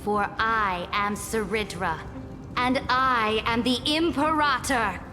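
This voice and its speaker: A woman's voice: fairly high pitch, slow. A woman playing a proud, ruthless conqueror emperor in a video game, speaking in grand, commanding, theatrical declarations. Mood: cold, commanding. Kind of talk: dramatic video game voice acting. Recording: long reverberant hall. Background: clear music.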